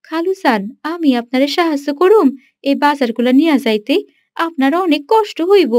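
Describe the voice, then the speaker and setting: A woman's voice: sits high, lively intonation, normal speed, a little mellow, no room sound, clean studio sound. A woman voicing a poor, dutiful village girl in a cartoon, plaintive and humble, with a rural Bangladeshi accent. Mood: concerned.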